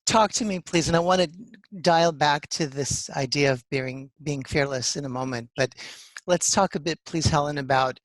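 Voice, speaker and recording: A man's voice: fairly high pitch, moderate pace, dry recording. Enthusiastic man hosting, dry sound. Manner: enthusiastic and encouraging. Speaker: podcast host. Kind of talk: interview hosting.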